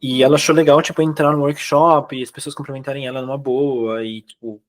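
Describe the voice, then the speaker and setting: A young Brazilian man speaking Brazilian Portuguese, recounting his experiences in a relaxed, chatty way with many fillers and quoted dialogue. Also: medium pitch, fairly quick. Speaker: guest. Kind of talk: casual interview chat.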